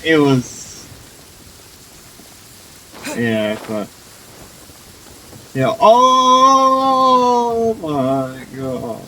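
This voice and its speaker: A young man comments casually on his gameplay. His voice is somewhat high and very lively, with halting, repeated words. Faint noise sits behind him. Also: rough recording. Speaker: game streamer.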